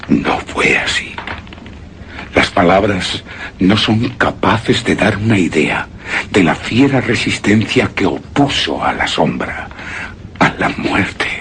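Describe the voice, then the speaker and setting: An actor playing a grieving, obsessed widower in a suspense and horror radio drama, with a solemn, halting, brooding delivery that drops into whispers. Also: male voice, low-pitched, background noise, heavily acted. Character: grieving, obsessed widower recounting his beloved's death. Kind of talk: Spanish-language suspense and horror radio drama, theatrical monologue.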